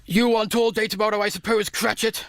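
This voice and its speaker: A man's voice: high voice, flat delivery, a little fast, fairly bright tone, slight background noise. An actor playing a miserly, sour old businessman in a radio play, with a curt, theatrical delivery. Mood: irritable, scornful.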